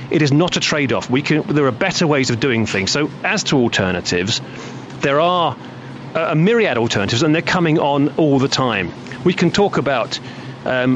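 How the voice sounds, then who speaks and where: A man speaking as a radio guest, firm and measured, listing his points one by one. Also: medium pitch, a bit bright, lo-fi sound, a little hiss or hum.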